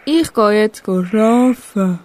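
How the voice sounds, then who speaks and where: A schoolboy with a Swiss German accent playing impatient, excitable wild animals in a children's radio play. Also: male voice, high voice, slow pace. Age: child or young teen pupil.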